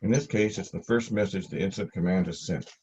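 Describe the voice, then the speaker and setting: Low, dark-voiced man instructing. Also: fast talker, slight background noise. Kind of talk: scripted instructional narration. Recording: dull, lo-fi audio.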